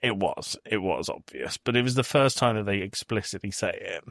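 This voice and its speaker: Male podcast co-host, lower-pitched voice, casual film discussion, very dry recording. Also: a little fast, gravelly, a bit bright, dry recording.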